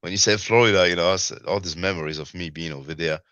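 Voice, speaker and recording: A man with a non-native English accent hosting a casual chat, asking questions in a halting way with repeated words, on a muffled mic. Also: low voice, a bit bright, no echo. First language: not English. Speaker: host, interviewer.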